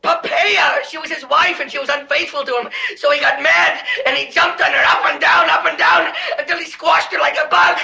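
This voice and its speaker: An actor playing a timid, neurotic young accountant with prim, fussy phrasing and easily panicked delivery in a broad film comedy. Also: male voice, high-pitched, expressive, quick pace, bright, forceful tone, full character voice. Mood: terrified. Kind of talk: broad comic film acting.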